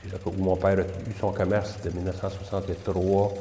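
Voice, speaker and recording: An older man with a Quebec French accent, recalling his childhood in a relaxed, hesitant way. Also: sits low, slight background noise. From Quebec, Canada. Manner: relaxed, hesitant, many pauses. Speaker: interviewee. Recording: echoey room with long reverb.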